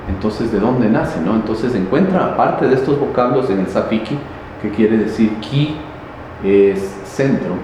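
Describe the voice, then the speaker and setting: A man explaining local history in an interview, pausing often with fillers and restarts. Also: on the low side. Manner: halting, many fillers and restarts. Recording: very echoey, noisy, reverberant space.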